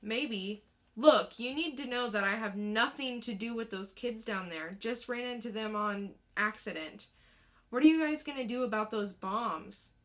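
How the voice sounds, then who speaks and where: A woman's voice: a little high, measured pace, fairly bright tone. A woman acting a defiant, streetwise teenage boy, guarded and slangy, in a dramatic comic-book reading. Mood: wary, defiant.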